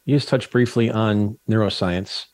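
A man's voice: on the low side, moderate pace, a little mellow, dry recording. A man hosting an interview, putting questions to his guest in a friendly, conversational way. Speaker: podcast host.

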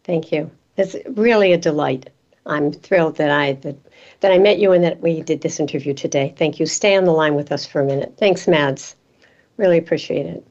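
A woman's voice: low-pitched, a little fast, darkish tone. A woman hosting a radio interview show, asking questions and murmuring along as her guest talks. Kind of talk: interview host chat. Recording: dull lo-fi sound.

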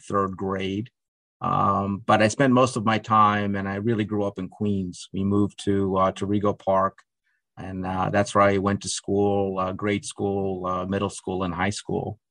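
A man's voice: lowish voice, flat delivery, unhurried, gravelly, fairly bright tone, no echo, low-quality recording. A middle-aged man, a guest in a conversational interview, talking hesitantly with many fillers and restarts. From Russia. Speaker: podcast guest.

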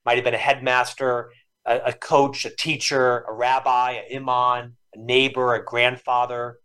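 A man's voice: medium pitch, little pitch movement, moderate pace, bright, forceful tone, smooth. A man in his fifties from Florida, a lifelong stutterer, telling personal stories in an earnest and emotional way as a podcast guest. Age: 50s. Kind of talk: reflective storytelling interview.

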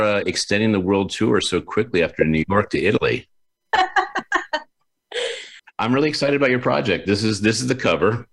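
Deep-voiced enthusiastic male host. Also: fairly bright tone. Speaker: podcast host. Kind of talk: casual interview chat.

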